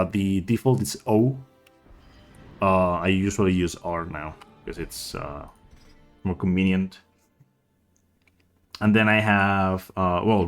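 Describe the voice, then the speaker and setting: A man with a fairly low voice talks through a game tutorial in a casual, unscripted way. He is hesitant and halting, often trailing off before picking up again. Music plays clearly behind him. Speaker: speedrunner giving a game tutorial.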